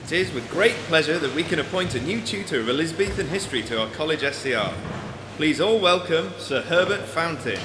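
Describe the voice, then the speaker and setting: An actor playing a college official giving a formal ceremonial address. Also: male voice, on the high side, lively intonation, a little fast, lightly acted. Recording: echoey hall with loud crowd noise.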